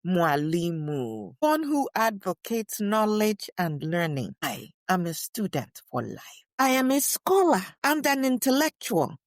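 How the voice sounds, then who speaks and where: A woman playing a dignified, proud elderly woman writer and scholar, delivering a deliberate first-person monologue with emphatic repetition. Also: medium pitch, sing-song, no echo, studio quality. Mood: proud, declamatory. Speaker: co-host in character. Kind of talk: first-person dramatic monologue, read aloud.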